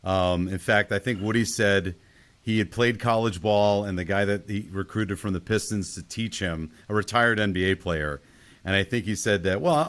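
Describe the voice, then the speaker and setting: A man's voice: lowish voice, fairly bright tone. A man hosting a casual film discussion in an inquisitive way, often putting questions to the group. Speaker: podcast host.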